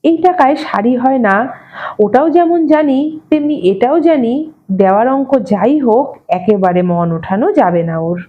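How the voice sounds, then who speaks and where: A woman narrating a literary short story expressively, in a measured, wry manner, as an audio story reader. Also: fairly high pitch, animated. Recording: slightly dull, muffled audio.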